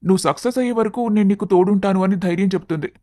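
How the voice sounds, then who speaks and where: A man acts out an affectionate but anxious young man in an animated moral story. The voice sits high for a male and sounds very dry, with an earnest, confiding delivery. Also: very fast, dry recording, lightly acted. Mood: worried.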